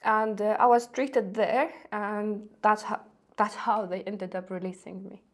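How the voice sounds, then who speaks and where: A woman in her thirties, from Syria, talking as a podcast guest in a hesitant way with many pauses and restarts. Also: mid-range voice, steady tone. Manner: soft-spoken, hesitant, with many ums and restarts. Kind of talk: casual interview talk.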